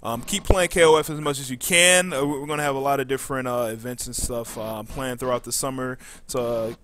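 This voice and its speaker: A man hosting a podcast casually, reading out announcements and often pausing mid-sentence. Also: mid-range voice, steady tone, fairly bright tone, a little hiss or hum. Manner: halting, frequent pauses mid-sentence. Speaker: podcast host.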